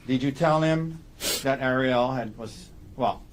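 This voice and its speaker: A man speaking as an attorney in courtroom questioning, putting halting, leading questions and checking each with a short tag. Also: medium pitch, slight background noise. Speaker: defense attorney questioning a witness.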